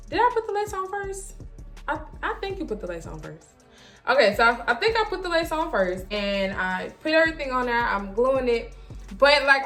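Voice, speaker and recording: A young woman telling a casual story to viewers in an animated way, acting out her own reactions and quotes as she goes. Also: mid-range voice. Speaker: vlogger.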